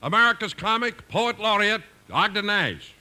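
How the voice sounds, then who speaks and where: Very bright, forceful male radio announcer. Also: high voice, animated, moderate pace. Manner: emphatic, polished sales delivery. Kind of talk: 1950s radio announcing and ad reading. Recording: old 1950s radio recording, faint music.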